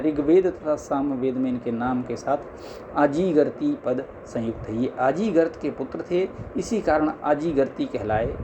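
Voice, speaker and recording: A man's voice: medium pitch, highs cut off, background noise. A man formally reading scholarly religious text aloud, now and then stumbling, in an amateur recording. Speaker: reader of a scripture audiobook.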